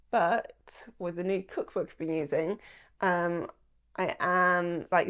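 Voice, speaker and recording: A woman, chatting casually to camera about her shopping, a little nasal from a starting cold, rambling with lots of "like" and "um". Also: fairly low pitch. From England. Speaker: lifestyle vlogger. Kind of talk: casual haul chat to camera. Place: small indoor room at home.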